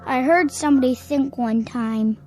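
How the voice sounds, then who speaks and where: Actress as spoiled, dangerous young boy, childish high register, sulky bluntness, radio play over music. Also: moderate pace, dark tone, close to an everyday voice. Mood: sulky, menacing. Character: petulant, menacing little boy with godlike powers. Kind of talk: radio drama acting.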